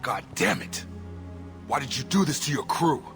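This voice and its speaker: A man's voice: mid-range voice, lively intonation, normal speed, natural voice. A young man playing a principled, bitter soldier son in a video game, blunt and accusing. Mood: angry. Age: young adult. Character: bitter, principled soldier son confronting his disgraced father. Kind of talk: dramatic video game voice acting. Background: cinematic background music.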